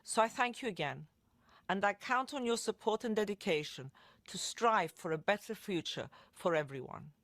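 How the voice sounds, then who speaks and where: Woman, formal prepared speech, measured, middle pitch, dry recording. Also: expressive, a bit bright, dry recording. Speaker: event moderator.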